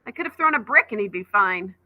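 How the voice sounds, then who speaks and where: A woman's voice: mid-range voice, expressive, fast talker, sharp tone, rough recording. A woman voicing a stubborn, defiant schoolgirl in a children's storybook, with curt, indignant retorts.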